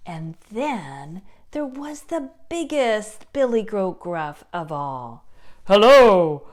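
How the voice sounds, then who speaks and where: A woman narrates a children's puppet show. She takes it very slowly, with deliberate phrasing and a lively, expressive rise and fall in a mid-pitched voice. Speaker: storyteller and puppeteer.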